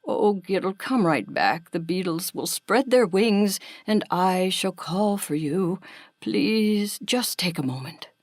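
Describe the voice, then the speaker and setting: A patient female animal guide from a children's story, played by a woman. She speaks slowly and formally, gently admonishing, in a sensible and measured way. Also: medium pitch, animated, no echo, clean studio sound. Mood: coaxing.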